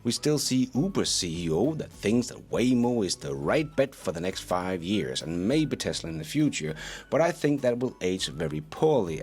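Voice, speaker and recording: Low-voiced man with lively, swinging pitch. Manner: emphatic, opinionated, chuckles between points. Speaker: host of a stock news channel. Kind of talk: enthusiastic news commentary.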